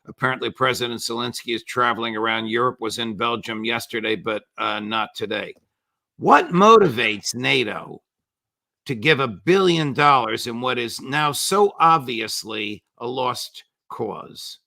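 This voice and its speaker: A man's voice: mid-range voice, expressive, measured pace, creaky, sharp tone. A man hosting an interview, asking pointed, leading questions. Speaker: show host.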